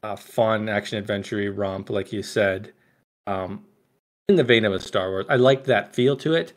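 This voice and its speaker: A man's voice: lowish voice, normal speed. A man co-hosting a podcast, chatting casually about films and often checking for agreement as he goes. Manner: chatty, often seeks agreement with tag questions. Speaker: podcast co-host and author. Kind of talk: casual film discussion.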